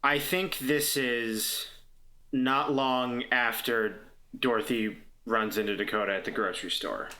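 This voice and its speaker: A man playing on an actual play podcast, improvising scenes haltingly and repeating words as he thinks. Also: mid-range voice, steady tone, bright, forceful tone. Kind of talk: improvised tabletop roleplay narration.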